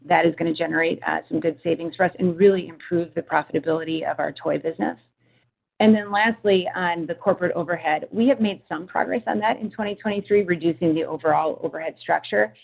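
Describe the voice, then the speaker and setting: A woman's voice: lowish voice, fast, creaky, slightly rough. A woman speaking as a finance executive on an earnings call, giving businesslike, structured answers in corporate language. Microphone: conference call line. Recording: tinny phone-like conference line.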